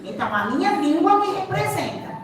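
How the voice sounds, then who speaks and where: A woman's voice: very high voice, big pitch swings, a little fast, a crowd in the background. A Brazilian woman giving an interactive lecture in Brazilian Portuguese, teaching emphatically with rhetorical questions and repeated key points. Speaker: seminar speaker, sign language teacher and interpreter. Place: large echoey room, far from the mic. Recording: echoey hall, distant microphone, clear background noise.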